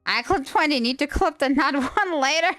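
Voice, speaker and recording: A woman's voice: high-pitched, lively intonation, moderate pace, a bit bright, faint music behind. A woman livestreaming a game, reacting casually and playfully, often echoing what she hears. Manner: playful, repeats and echoes game lines.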